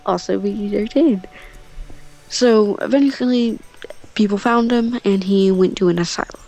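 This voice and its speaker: A woman tells a story in a casual, improvised way at a medium pitch. She rambles and slips in offhand asides as she goes, with some music playing faintly behind her. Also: normal speed, dark tone, poor audio. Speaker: storyteller on a gaming channel.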